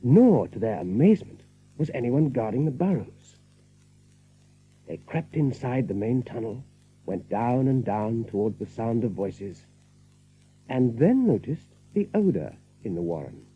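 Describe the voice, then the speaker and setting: An actor as a grave storyteller, giving scripted dramatic narration of an adventure tale. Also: male voice, mid-range voice, big pitch swings, unhurried, husky. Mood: suspenseful. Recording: thin phone-like old tape, hiss.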